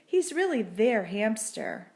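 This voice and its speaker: A woman voicing a kindly older wife in a storybook, animated and gently fussing. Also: fairly high pitch, slow, heavily acted. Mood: gently teasing.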